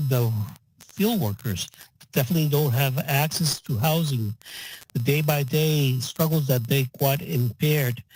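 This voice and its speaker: A male interpreter relays public comment piece by piece in halting phrases. His pitch is average, with faint noise and clicks on the line. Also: darkish tone, rough recording.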